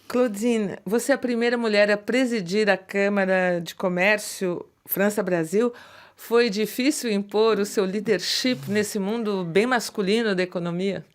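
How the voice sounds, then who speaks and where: A woman's voice: medium pitch, a little slow. A woman hosting a radio interview in a studio, introducing her guest and asking questions in a composed, formal way.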